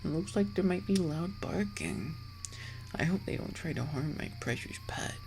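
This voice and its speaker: A woman playing a possessive, coolly menacing yandere in an ASMR roleplay, speaking in a slow, deliberate, breathy whisper. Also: on the low side, lively intonation, darkish tone, slight background noise. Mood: doting, controlling. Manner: slow, deliberate, controlled, threatening.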